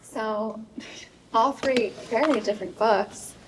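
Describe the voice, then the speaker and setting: A woman moderating a literary panel, asking a long question in a halting way and searching for her words. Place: event stage before an audience. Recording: distant mic in a large room, faint rumble.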